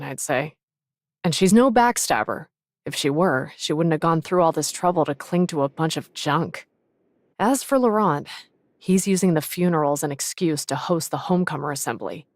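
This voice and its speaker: A principled young woman officer from an anime game, low in pitch for a woman. She speaks with composed, firm frankness and quiet pride. The sound is studio-dry. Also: no room sound. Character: proud, principled young female fleet officer. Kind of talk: English anime game voice acting.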